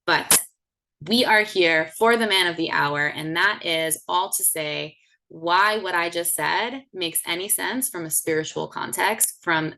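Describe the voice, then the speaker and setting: A woman's voice: fairly low pitch, a little slow, creaky, sharp tone, poor audio. A woman in her thirties hosting a class on a video call, chatty and full of fillers. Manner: chatty, with many fillers and restarts. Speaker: host of the class. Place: home setting indoors.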